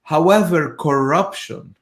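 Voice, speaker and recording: A man's voice: a little high, expressive, a little slow, creaky, echoey room. A man from Lebanon hosting a live discussion, a university professor who talks earnestly and emphatically, sometimes searching for words.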